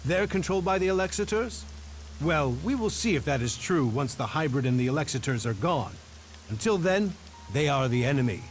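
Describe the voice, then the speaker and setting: Stern game faction leader, male actor: higher-pitched, commanding, distrustful, deliberate. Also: lively intonation, a little hiss or hum, natural voice. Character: wary, commanding leader resisting an enemy faction. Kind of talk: video game voice acting.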